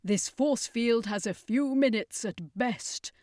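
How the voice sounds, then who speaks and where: A woman voicing an earnest male circus master in an adventure story, a heroic leader speaking urgently and gravely. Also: a little high, slow, a little mellow, no room sound, studio quality, voice pushed up. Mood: grim, determined.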